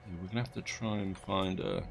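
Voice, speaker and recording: Low gravelly man narrating gameplay. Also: animated, a little fast, music in the background. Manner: rambling, thinking aloud, self-correcting. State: slightly croaky from allergies. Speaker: gaming video host. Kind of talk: casual gameplay commentary.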